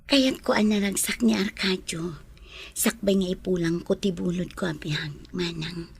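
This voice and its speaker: Breathy, gentle lovelorn lady. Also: fairly low pitch, a little slow, heavily acted. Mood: wistful. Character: gentle, sickly, lovelorn young lady employer. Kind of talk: Filipino radio drama acting.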